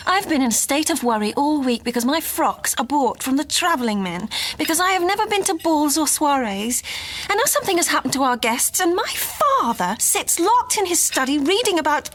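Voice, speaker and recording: A woman playing a sheltered, wistful young gentlewoman in a gothic audio drama, speaking in a measured, literary way. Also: sits high, very animated. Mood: anxious, petulant. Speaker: lead actress and narrator. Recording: audio drama with clear background ambience.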